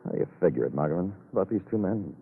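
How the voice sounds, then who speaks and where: An actor playing a weary, brooding police detective who narrates in terse, somber, poetic noir style in a 1950s radio drama. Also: male voice, deep voice, animated, very fast, vocal fry, a little hiss or hum. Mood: businesslike. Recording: narrow-band old radio broadcast, music behind.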